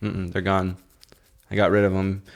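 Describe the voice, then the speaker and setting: A man co-hosting a podcast in casual comedic chat, deadpan and crude in his joking. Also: deep voice, moderate pace. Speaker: podcast co-host.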